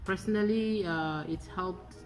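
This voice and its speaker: Low-voiced woman from Benin. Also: over music. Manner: halting, frequent uhs. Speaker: recent graduate. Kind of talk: personal testimony.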